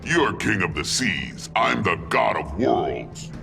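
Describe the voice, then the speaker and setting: An actor playing a tyrannical god of worlds in a video game, pompous and threatening. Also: male voice, low voice, very animated, slow, vocal fry, heavily acted. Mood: menacing, domineering. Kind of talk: video game villain acting. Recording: music behind the voice.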